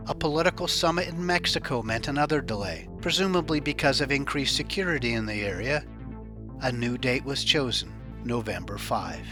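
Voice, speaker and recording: Male crime reporter narrating over music. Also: medium pitch. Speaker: host of a crime news report channel.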